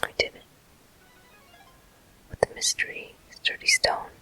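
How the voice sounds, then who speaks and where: Soft, bright ASMR whisper. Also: soft background music. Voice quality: breathy, unvoiced whisper. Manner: soothing, unhurried, softly encouraging. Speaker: game streamer. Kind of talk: whispered ASMR gameplay commentary.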